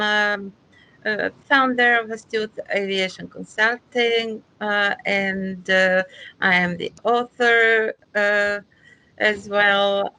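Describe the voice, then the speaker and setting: A woman speaks slowly with a bright, sharp tone. She introduces herself on an online panel, stopping often with uhs. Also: on the high side, low-quality recording. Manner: halting, many fillers. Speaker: aviation consultant, panelist. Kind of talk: self-introduction on a video call. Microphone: video call.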